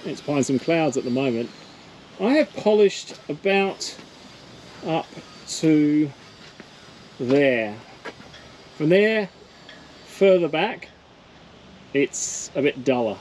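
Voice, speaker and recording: Australian boat owner, relaxed how-to narration, higher-pitched voice, outdoor yard with light noise. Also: male voice, takes it slowly, pauses often, rough recording. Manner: relaxed, matter-of-fact explaining while working. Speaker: boat owner doing his own maintenance. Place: outdoor boatyard.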